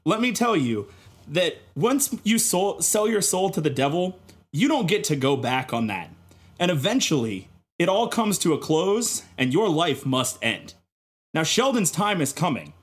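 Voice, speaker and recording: A man's voice: a little high, big pitch swings, a little slow, slightly rough. A man on a podcast panel spinning comic theories in a mock-serious, dramatic, emphatic way.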